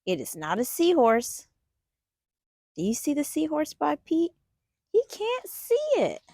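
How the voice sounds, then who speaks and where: A woman's voice: high voice, huge pitch swings, slow, mellow, no room sound, clean studio sound. A woman hosting a children's storytime, reading a picture book aloud expressively and putting questions to young listeners. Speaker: children's storytime host and reader.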